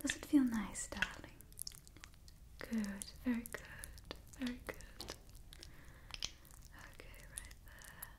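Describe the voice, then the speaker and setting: A young woman playing a haughty, doting immortal vampire in a whispered ASMR roleplay, speaking softly and breathily in a refined, coaxing way. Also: on the high side. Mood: soothing, doting. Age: young adult. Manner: slow, refined, coaxing, faintly imperious. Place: small indoor set with wooden backdrop. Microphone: close mic, out of frame. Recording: close-miked whisper, noticeable hiss, soft clicks.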